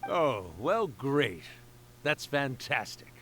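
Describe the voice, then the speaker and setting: Lively, theatrical old fox spirit. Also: male voice, medium pitch, slow, slight background noise, full character voice. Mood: fond. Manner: theatrical, teasing, self-satisfied. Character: mischievous, boastful, centuries-old kitsune spirit storyteller, male. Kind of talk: video game character acting.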